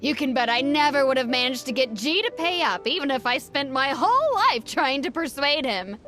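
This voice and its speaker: A woman's voice: high voice, expressive, moderate pace, fairly bright tone. An actress playing an indignant, sarcastic village farmer woman in a video game. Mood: grateful. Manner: exasperated, sarcastic.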